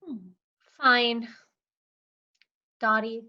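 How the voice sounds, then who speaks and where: A woman's voice: fairly high pitch, steady tone, extremely slow, lo-fi sound. A woman voicing a sarcastic, exasperated young female patient with a dry, dismissive manner.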